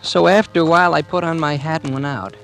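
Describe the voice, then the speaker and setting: A troubled young man in a vintage radio thriller, his voice on the high side with music behind it. He is polite and earnest, with a hesitant delivery that hides a killer. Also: fairly even intonation, fast talker, creaky, fairly bright tone. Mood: brooding, uneasy. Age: young adult. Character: outwardly polite, troubled young male murderer, confessing his crimes. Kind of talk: 1940s-50s radio drama acting and first-person narration. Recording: old radio recording with music. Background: dramatic music.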